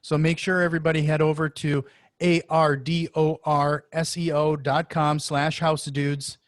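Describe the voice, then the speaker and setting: A man with a somewhat high-pitched voice hosts a conversational interview show. He talks in a wordy, halting manner, repeating words as he goes, and the sound is close and dry. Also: little pitch movement, no room sound. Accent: Norwegian-influenced.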